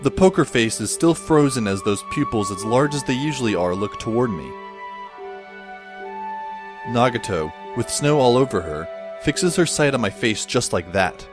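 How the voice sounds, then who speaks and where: A wry, bewildered teenage schoolboy tells his story as acted by a man in an audio drama. His delivery is wordy and incredulous, with lively swings in pitch. Faint music sits behind his medium-pitched voice. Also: moderate pace, natural voice. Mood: wry, watchful. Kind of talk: scripted first-person audio drama narration.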